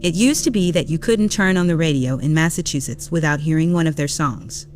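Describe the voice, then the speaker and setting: A woman's voice: deep voice, fairly quick, faint music behind. A woman narrator reading a scripted audio drama story.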